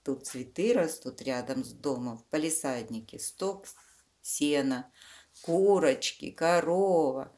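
A woman with a low voice reads to young children. She goes slowly, using fond diminutives and simple explanations as a storyteller would. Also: mellow. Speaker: children's book reader, channel host.

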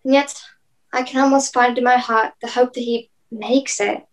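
Young woman as a high-voiced sea captain. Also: steady tone, normal speed, lightly acted. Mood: composed. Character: composed, seasoned male ship's captain. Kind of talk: radio play acting.

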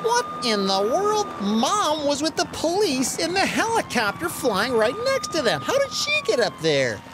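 A narrator telling a children's story in an animated style with dramatic, drawn-out emphasis. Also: high voice, very noisy.

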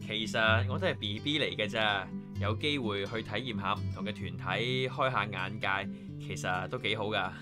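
A man's voice: fairly low pitch, moderate pace, music in the background, close to an everyday voice. An actor playing a friendly, eager, modest young man in a Hong Kong Cantonese radio drama. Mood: friendly, upbeat.

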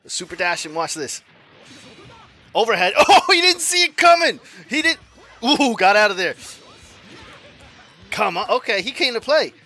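A man in his forties commenting on his own online fighting game matches, swinging from low mutters to loud yells, laughing and cursing at himself and his opponent. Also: very animated, normal speed, pauses often, soft background music. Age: 40s. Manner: profane, self-mocking trash talk. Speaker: gaming YouTuber. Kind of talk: live gameplay commentary.

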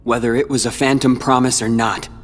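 A man's voice: medium pitch, level tone, fairly quick, natural voice. An actor playing a somber, determined young man who knows he is a copy, in a video game cutscene. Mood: resolute. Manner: quiet, resolute, somber. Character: determined young male replica, quiet and resolute. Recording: reverberant game audio with faint music.

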